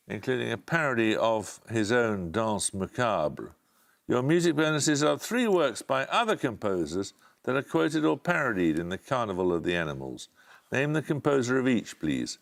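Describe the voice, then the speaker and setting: Gravelly quiz host with a lively delivery. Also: male voice, mid-range voice. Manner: brisk, authoritative question reading. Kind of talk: reading quiz questions.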